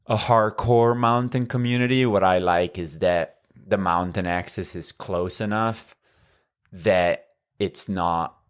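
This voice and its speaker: A man in his thirties from Northern Italy, speaking English with an Italian accent as a podcast guest, measured and full of fillers. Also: low voice, fairly even intonation, smooth. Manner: measured, with frequent fillers and restarts. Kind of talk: conversational interview.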